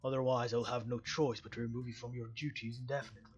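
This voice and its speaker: A man voicing a stern, weary police chief in a throaty, strained voice, measured and formal, reading visual novel dialogue. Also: mid-range voice, little pitch movement, muffled recording, voice pushed down, close to an everyday voice. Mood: grave, measured.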